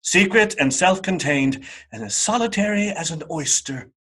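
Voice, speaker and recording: A man narrating a radio play as a theatrical storyteller, wry and emphatic, with a husky voice. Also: high voice, animated, normal speed, bright, forceful tone, exaggerated voice. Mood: scornful relish.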